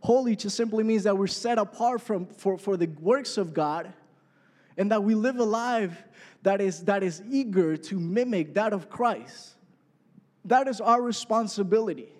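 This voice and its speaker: A man's voice: high-pitched, a little slow, a little mellow. A man in his twenties preaching a sermon in a conversational way, often checking in with the congregation. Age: 20s. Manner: conversational preaching, frequent "right?" asides. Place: church hall. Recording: echoey hall.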